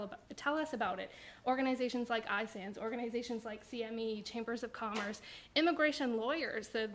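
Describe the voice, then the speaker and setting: A woman in her thirties with a squeaky voice, giving a chatty panel talk at a podium microphone in a university lecture room, with frequent ums. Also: fairly bright tone. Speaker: provincial immigration director. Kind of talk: panel presentation.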